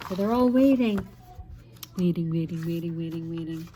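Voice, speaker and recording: A woman's voice: on the low side, moderate pace, dark tone, slight background noise. A woman teacher reading a children's picture book aloud expressively, with chatty asides to young listeners.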